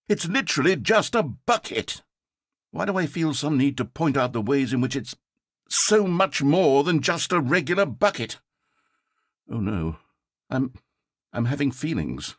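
A man's voice: medium pitch, slightly rough. A man voicing a sarcastic, theatrical narrator in a video game, swinging between dry wit and grand rapture. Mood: flustered. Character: wry, theatrical male narrator of a game, adult.

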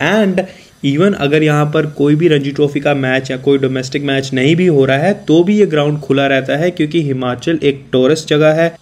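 A man's voice: fairly high pitch, normal speed, a little mellow. Casual male vlogger touring a stadium. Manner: casual, explanatory, addresses viewers directly. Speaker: cricket vlogger giving a stadium tour. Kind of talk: walking tour vlog, mixing Hindi and English. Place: outdoors at a hillside cricket stadium.

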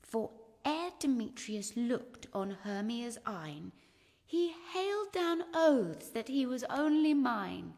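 Spurned young noblewoman, British, lovelorn Shakespearean verse, slow and very expressive. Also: fairly high pitch, gravelly, exaggerated voice. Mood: aggrieved, resolved. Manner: theatrical, measured verse delivery. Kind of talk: Shakespearean radio drama soliloquy. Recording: long hall-like reverb.

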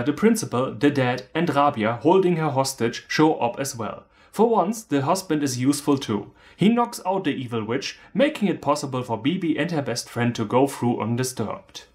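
A man's voice: mid-range voice, darkish tone. A man in his thirties from Germany with a German accent, delivering a scripted, humorous film review in a sardonic manner.

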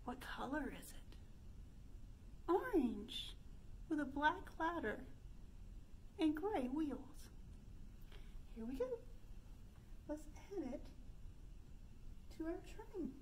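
Female storytime leader, 30s, high voice, exaggerated sing-song delivery for preschoolers, noticeable background noise. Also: big pitch swings, takes it slowly, long pauses, poor audio. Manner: sing-song, exaggerated, asking questions to young children. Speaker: children's librarian leading storytime. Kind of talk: interactive children's storytime with chants. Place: indoor room by a stone fireplace.

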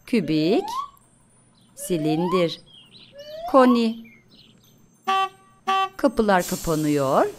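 A woman narrating a children's cartoon in a simple, expressive way, talking to the characters and asking questions. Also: on the low side, very slow, pauses often, background noise. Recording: studio voice-over with faint music behind. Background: faint music.

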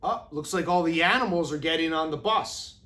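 A man in his thirties reading a children's storybook aloud with deliberate, expressive phrasing, as an educator does for young children. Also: a little high. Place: classroom, indoors.

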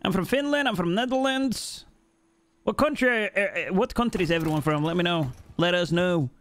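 Young male streamer from Norway, rambling and jokey, a touch high. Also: normal speed, fairly bright tone, a little hiss or hum. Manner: chatty, reads chat aloud, jokey. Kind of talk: live-stream gameplay chat. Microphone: headset mic.

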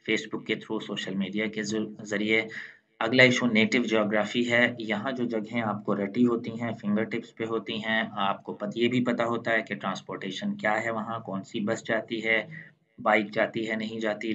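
A man, hosting a channel and talking through a mind map lesson in a measured, explanatory way. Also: fairly low pitch, a bit bright, highs cut off. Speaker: channel host.

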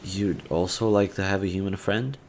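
A male actor plays a kind, bashful young man with a low voice in an intimate audio roleplay. He talks gently and casually to the listener, pausing to find his words. Faint noise and ambience sit behind him. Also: steady tone, gravelly. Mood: earnest, friendly.

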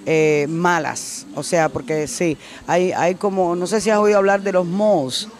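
A middle-aged woman from Cuba, a singer answering interview questions in a casual way, animated and repeating phrases for emphasis, into a handheld mic in a restaurant. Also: fairly low pitch, unhurried. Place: decorated restaurant, indoors. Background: faint music.

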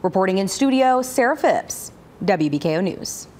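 A woman's voice: mid-range voice, lively intonation, moderate pace, darkish tone. Upbeat young woman news reporter. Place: TV studio.

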